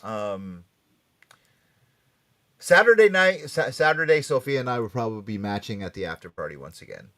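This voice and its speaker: A man hosting a casual pop culture talk show, speaking haltingly and often repeating and rephrasing himself. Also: mid-range voice, sing-song, fairly bright tone. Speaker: podcast and radio show host.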